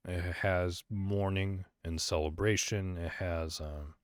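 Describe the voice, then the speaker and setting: A man from Illinois hosts his own podcast alone, thinking aloud as he goes. His voice sits very low, and he drifts between thoughts with plenty of fillers and false starts. The sound is dry and close, with no room around it. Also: steady tone, slow, no room sound. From Illinois, USA. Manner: rambling, hesitant, many fillers and restarts. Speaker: podcast host. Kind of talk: solo casual podcast monologue.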